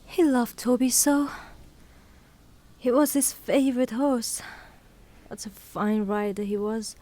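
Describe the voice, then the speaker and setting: An actress playing a proud, grieving young widow, stubbornly faithful and melodramatic, in a theatrical audio drama. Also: female voice, on the high side, takes it slowly, mellow. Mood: wistful.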